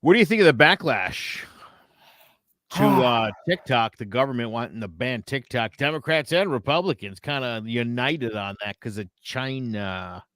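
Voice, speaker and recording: A man co-hosting a casual podcast, bringing up topics and telling stories in a relaxed way. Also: mid-range voice, normal speed, dry recording. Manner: relaxed, storytelling, raises topics. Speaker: podcast co-host.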